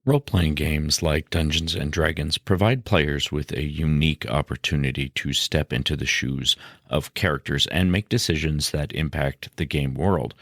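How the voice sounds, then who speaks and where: A man presents a scripted educational podcast as its host. His voice sits very low and often creaks into fry. The sound is dry and close, and he explains things in a measured way. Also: a bit bright, no room sound.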